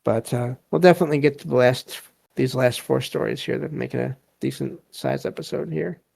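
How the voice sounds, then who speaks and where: Guy hosting a podcast, kinda slow n hesitant, relaxed low-key voice w some fry, reading stories. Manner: halting, hesitant, reflective delivery. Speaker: podcast host. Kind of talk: casual commentary and reading listener stories aloud.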